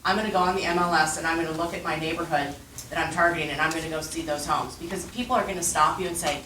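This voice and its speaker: A woman with a loud voice giving emphatic, energetic advice as a panelist. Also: low-pitched, very fast, slight background noise. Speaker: real estate panelist. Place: meeting room. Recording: echoey room from a distance.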